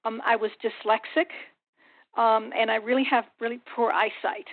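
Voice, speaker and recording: A woman's voice: fairly high pitch, little pitch movement, moderate pace. A woman whose first language is Spanish, from the US–Mexico border region, giving earnest, encouraging advice as a podcast guest. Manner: earnest, encouraging, repeats for emphasis. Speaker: guest, corporate consultant. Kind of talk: motivational parting speech on a podcast. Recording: phone-like narrow line.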